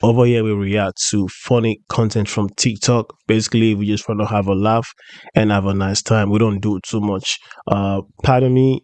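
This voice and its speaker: A man with a flu-roughened voice, hosting a reaction channel and commenting bluntly and jokingly on clips. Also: on the low side, fairly even intonation, normal speed, darkish tone, dry recording. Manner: blunt, joking, repeats himself. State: hoarse from flu.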